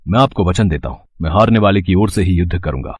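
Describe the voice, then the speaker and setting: A man's voice: deep voice, animated, fast talker, a little mellow, slightly rough. A man playing a brave, reverent young warrior prince in a mythological story, speaking formally and earnestly. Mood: earnest resolve. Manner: formal, declamatory Hindi, earnest. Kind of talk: scripted mythological storytelling drama.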